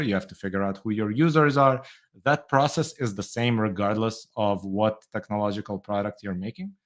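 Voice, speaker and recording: A man's voice: fairly low pitch, a bit bright. A middle-aged man from Moldova who grew up in Israel, answering interview questions thoughtfully with light laughs. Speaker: guest, tech entrepreneur.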